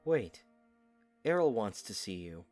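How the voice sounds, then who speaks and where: A young man in an audio drama, voiced by a male actor, speaks with lively, shifting pitch. He is teasing but keeps his guard up. Music plays behind him. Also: measured pace. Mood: teasing, casual.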